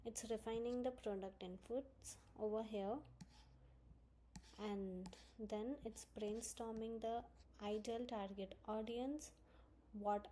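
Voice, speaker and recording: A woman presenting an informal software walkthrough, explaining step by step in halting phrases. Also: fairly high pitch, steady tone, slight background noise, low-quality recording. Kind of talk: informal screen-walkthrough tutorial narration.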